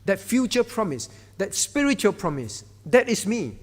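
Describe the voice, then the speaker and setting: High-voiced preacher. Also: male voice, big pitch swings, normal speed, slightly rough. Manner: conversational preaching, with asides to the congregation. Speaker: pastor. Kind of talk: sermon. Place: church hall. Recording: reverberant church hall.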